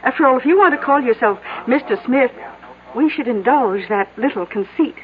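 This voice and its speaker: An actress in an old American radio drama playing a knowing small-town woman, polite but needling, with deliberate pauses. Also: on the high side, lively intonation, lightly acted. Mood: chatty, coy. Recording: tinny old radio recording, faint music.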